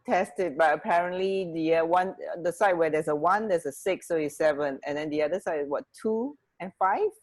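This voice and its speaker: A woman co-hosting a radio show in casual chat, halting and correcting herself as she goes. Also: fairly low pitch. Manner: hesitant, halting, self-correcting. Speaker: radio co-host.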